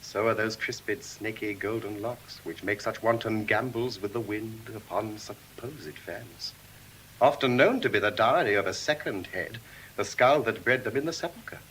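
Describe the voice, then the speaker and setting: An actor with an English RP accent playing an earnest, romantic young Venetian lord in classical Shakespearean verse, with measured theatrical delivery. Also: male voice, lowish voice, a bit bright, close to an everyday voice, low-quality recording. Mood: grave, reflective. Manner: measured, theatrical, deliberate declamation. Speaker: stage actor. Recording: hissy vintage audio drama recording.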